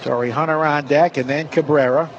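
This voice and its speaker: Guy calling a ballgame play by play on radio, higher voice, loud ballpark noise behind him. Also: a little fast, lo-fi sound. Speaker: baseball play-by-play announcer. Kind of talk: live radio baseball play-by-play. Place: stadium broadcast booth. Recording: steady stadium noise behind voice. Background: loud ballpark ambience.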